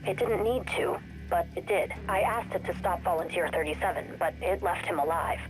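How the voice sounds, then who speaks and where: A woman playing an earnest crew member in a video game, giving testimony in a plain, deliberate way. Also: on the low side, music in the background, close to an everyday voice, poor audio.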